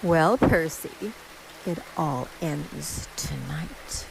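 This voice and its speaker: Woman playing a vengeful magician's partner, wildly expressive. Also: low voice, drawn-out words, gravelly, heavy background noise, exaggerated voice. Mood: menacing. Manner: theatrical, aggrieved. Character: wronged, vengeful magician's female stage partner with secret powers. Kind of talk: radio mystery drama acting.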